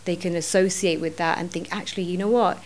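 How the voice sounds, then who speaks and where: A middle-aged woman, a podcast guest, talking earnestly and thinking aloud, often pausing with fillers. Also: fairly low pitch, steady tone. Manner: earnest, thinking aloud with many "you know"s. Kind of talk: reflective podcast conversation.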